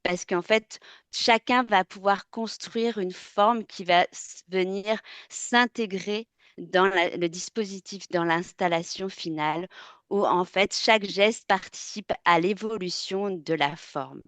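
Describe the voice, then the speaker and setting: A woman from France, a textile artist giving a webinar presentation, speaking thoughtfully and often pausing with fillers and restarting words. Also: mid-range voice, fairly bright tone, no echo.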